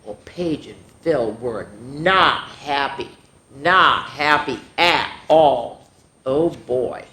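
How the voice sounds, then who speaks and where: A woman storyteller reading a picture book aloud to children, with lively, chatty asides to the kids. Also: extremely low pitch, very slow. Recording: roomy echo.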